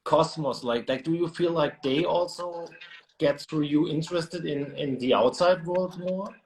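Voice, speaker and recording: A man's voice: a little high, normal speed, a little hiss or hum, low-quality recording. A man interviewing a musician, asking long, winding questions.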